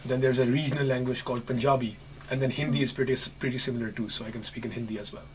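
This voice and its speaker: A young man from Pakistan chatting casually in a group, quick to react. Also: medium pitch, steady tone, rough recording. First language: Urdu. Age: young adult.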